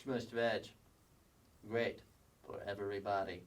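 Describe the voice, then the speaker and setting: An actor playing a dim, vain kindergarten boy with a slow, simple, deadpan manner in a staged comedy sketch reading. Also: fairly low pitch. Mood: self-satisfied. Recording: distant stage mic.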